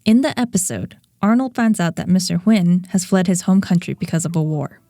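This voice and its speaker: A woman hosting a podcast, giving a measured, reflective scripted narration. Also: fairly low pitch, dark tone, dry recording, studio quality. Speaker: podcast host.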